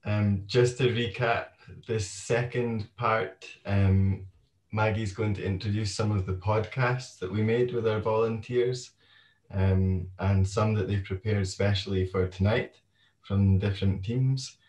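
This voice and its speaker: A man with a low, flat voice moderates an online event and announces breaks and the running order. He sits some way from his microphone, so his voice sounds roomy and distant. He speaks in a relaxed, practical way. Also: a little slow. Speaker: event host. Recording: roomy sound, far from the mic.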